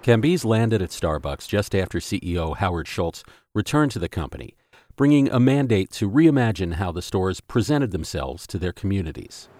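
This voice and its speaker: A man's voice: sits low, sing-song, moderate pace, a little mellow, no room sound. A male podcast host narrating a scripted story and posing rhetorical questions.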